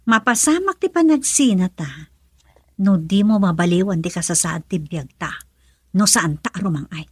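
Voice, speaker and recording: A woman's voice: fairly low pitch, expressive, normal speed, husky, no room sound. An actress playing a discontented young wife who nags and complains at her poor husband in an Ilocano radio drama. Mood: threatening, fed up. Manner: complaining, exasperated.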